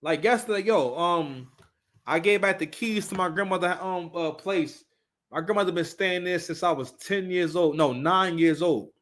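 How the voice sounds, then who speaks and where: A man in his thirties with a somewhat high-pitched voice hosts a casual live stream. He speaks off the cuff and emphatically, circling back over his phrases and swearing as he goes. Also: moderate pace, a bit bright. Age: 30s. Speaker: live stream host giving commentary. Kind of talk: casual, unscripted opinionated commentary.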